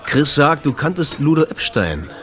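Insistent, sardonic jazz club owner, lively man. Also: a little high, moderate pace, a faint crowd. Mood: casual probing. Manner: probing, terse, sardonic. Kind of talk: German radio drama acting.

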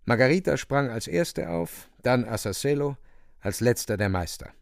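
A male narrator tells a dramatic story in a solemn, theatrical manner. His mid-pitched voice has a gravelly creak and sits close and dry, with no room around it. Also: animated, moderate pace, dry recording. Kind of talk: dramatic literary narration for a radio play.

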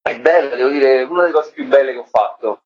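Male interview guest, medium pitch, colloquial and rambling, lo-fi broadcast sound. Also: fast, slightly rough. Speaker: guest, stage storyteller. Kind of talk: casual spoken interview. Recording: dull, lo-fi radio audio.

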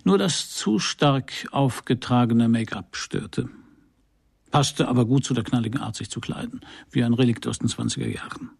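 An actor playing a wry, unruffled private detective in a radio crime drama, narrating and talking in a dry, polite way. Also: male voice, medium pitch, moderate pace, gravelly, darkish tone, husky, dry recording. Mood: wry, observant.

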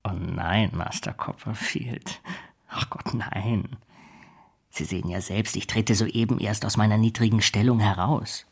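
Radio drama: obsequious, scheming male clerk, falsely humble, gravelly and rasping. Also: fairly low pitch, fairly even intonation, a little slow, heavily acted. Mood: hushed, insinuating. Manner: servile, obsequious, gushing with self-abasement. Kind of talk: German literary radio drama acting.

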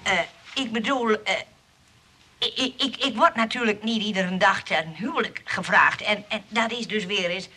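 An actress playing a flustered, dutiful nurse in a comic radio play, speaking politely and hesitantly. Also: female voice, mid-range voice, moderate pace, pushed and bright, slightly rough. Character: evasive, flustered, dutiful female rest-home nurse.

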